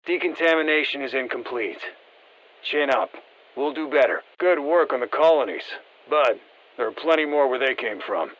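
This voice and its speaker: A man voicing a seasoned special-forces operator in a video game, briefing the squad in a terse, steady, encouraging way. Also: mid-range voice, normal speed, lo-fi sound, natural voice. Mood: focused, encouraging. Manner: terse, matter-of-fact military delivery. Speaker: military operator giving mission guidance. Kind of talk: scripted video game voice lines.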